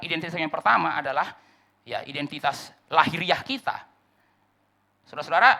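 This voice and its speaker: A male pastor preaching an expository sermon, addressing the congregation directly, swinging from loud declarations to soft asides. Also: very high voice, creaky. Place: church service.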